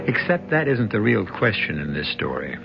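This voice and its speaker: A man hosting a radio mystery show, narrating in a measured, dramatic way. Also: on the low side, big pitch swings, gravelly. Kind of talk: scripted narration. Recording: dull old radio broadcast with music.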